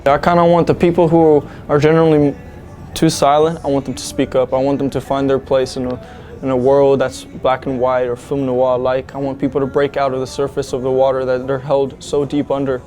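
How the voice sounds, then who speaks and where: A man's voice: medium pitch, level tone, brisk, mellow. A young man, a student musician, answering interview questions in an earnest, enthusiastic, rambling way. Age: young adult.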